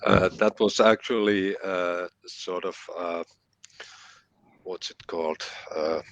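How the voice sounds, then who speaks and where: A man's voice: low voice, steady tone, slow, highs cut off. A man from Finland, not a native English speaker, speaking hesitantly as he searches for words as a guest author.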